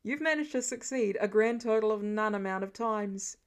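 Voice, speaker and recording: A woman's voice: medium pitch, normal speed, voice pushed down, lightly acted. A woman voicing a cocky male superhero archer who teases and goads sarcastically in a story reading. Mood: sarcastic. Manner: sarcastic, goading.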